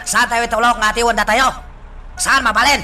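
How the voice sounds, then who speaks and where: An actor playing an impatient, hot-tempered gang boss in an Ilocano radio drama. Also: male voice, very high voice, level tone, a little fast, sharp tone, soft background music, heavily acted. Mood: agitated, impatient.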